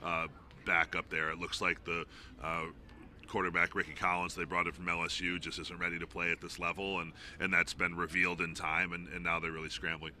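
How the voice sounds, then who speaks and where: A man's voice: low-pitched, level tone, fairly quick, bright, forceful tone, a little hiss or hum. A man who works as a play-by-play announcer, giving informal, discursive sports analysis as an interview guest.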